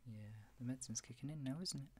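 A soft-spoken ASMR roleplayer playing a gentle horse veterinarian, speaking in a soothing, reassuring manner close to the microphone. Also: mid-range voice, fast talker, a little hiss or hum.

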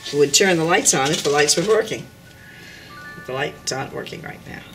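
A woman's voice: low-pitched, animated, a little fast, music in the background, poor audio. An older woman chatting casually and unscripted as she gives a home tour, meandering and correcting herself as she goes. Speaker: dollhouse owner giving a tour. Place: indoors at home, filming a dollhouse.

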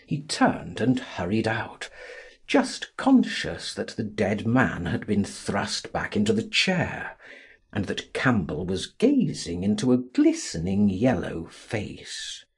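A man narrating a classic novel as a dramatic audiobook, theatrical and deliberate in his delivery. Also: lowish voice, wildly swooping pitch, vocal fry, breathy edge.

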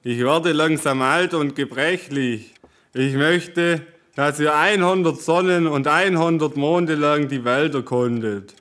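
A man playing an aging peasant father in a fairy-tale audio story, earnest, measured and caring. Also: fairly high pitch, a bit bright. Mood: solemn, caring.

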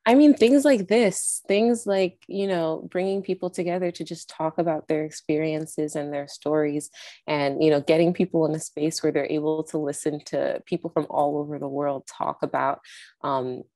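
A young woman talks through her earbud mic on a video call, casual and earnest, peppering her thoughts with "like" and "um". Her voice sits low and warm. The sound is dry and close. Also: fairly even intonation, moderate pace, no room sound. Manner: casual, earnest, many fillers like "like" and "um". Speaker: film director and network founder. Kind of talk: conversational interview answers. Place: indoors with a virtual background. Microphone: wired earbud mic on a video call.

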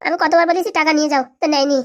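A woman's voice: high voice, steady tone, very fast, lightly acted. A woman voicing a brusque, self-justifying village mother in a comic cartoon. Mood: defensive.

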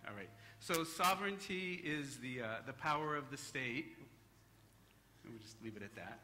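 A middle-aged man speaks at a podium microphone in a hotel conference hall, his voice bright and forward. He talks in a relaxed, easygoing way and scatters ums and uhs through his sentences. A faint hum of room noise sits under him. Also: medium pitch, moderate pace. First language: English. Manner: relaxed, joking, with frequent fillers. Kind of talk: academic conference talk.

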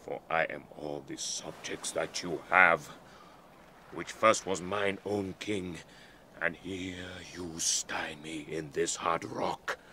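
A man plays a brooding, bitter monster slave in Shakespearean audio drama. The voice is very low with a forceful, sharp edge. There is some light noise in the recording. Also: fairly even intonation, full character voice. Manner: slow, cursing, brooding delivery. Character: bitter, resentful male island monster slave.

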